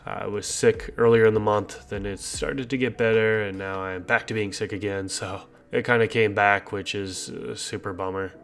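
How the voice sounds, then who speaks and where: A man's voice: on the low side, fairly even intonation, normal speed, creaky, hardly any pauses, fairly bright tone. A man with a congested voice, chatting casually and upbeat as he hosts a gardening video and asks viewers for feedback. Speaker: gardening channel host.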